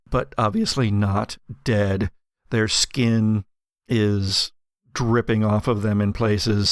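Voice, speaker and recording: A man running a horror tabletop roleplaying game as game master, narrating descriptively with drawn-out emphasis. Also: lowish voice, dry recording, clean studio sound.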